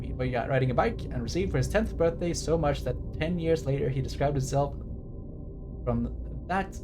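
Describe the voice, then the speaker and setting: Rambling male streamer over background music. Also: medium pitch. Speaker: livestreamer. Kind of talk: game stream commentary, reading on-screen text aloud and chatting.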